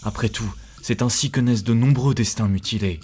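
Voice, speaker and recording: A man's voice: mid-range voice, fairly quick, a little mellow, husky, natural voice. A man voicing a thoughtful, serious listener in a dubbed cartoon, reflecting on what his mentor says. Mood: pensive.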